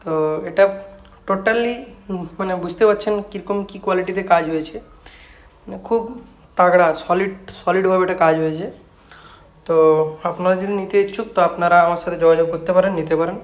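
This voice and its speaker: High-pitched West Bengal man, casual product pitch. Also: steady tone, moderate pace, long pauses. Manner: repetitive, salesman-like talk mixing in English words. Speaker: speaker repair shop owner and product presenter. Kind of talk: casual product showcase vlog.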